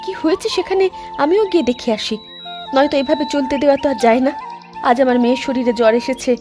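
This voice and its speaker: A woman's voice: high-pitched, fast talker. A woman voicing a caring, pleading village mother in a horror cartoon. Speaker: voice actor for mother and young girl. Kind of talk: cartoon voice acting. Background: music.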